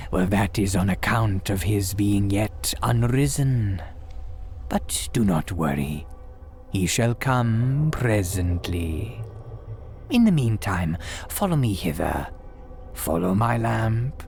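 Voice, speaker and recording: A man's voice: lowish voice, lively intonation, slow pace, a little mellow, slight background noise. A man playing a sinister, courteous graveyard priest who hosts in formal, archaic, theatrical diction, in the style of a gothic radio drama. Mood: ominous courtesy. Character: sinister, courteous old-fashioned graveyard priest guiding visitors. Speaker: host.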